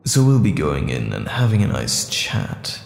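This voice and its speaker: Very deep gravelly menacing villain, echoing. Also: male voice, wildly swooping pitch, unhurried, full character voice. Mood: smug, dismissive. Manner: taunting, theatrical, drawling. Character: menacing, mocking male monstrous alter ego. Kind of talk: audio roleplay acting. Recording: echoey reverberant stairwell.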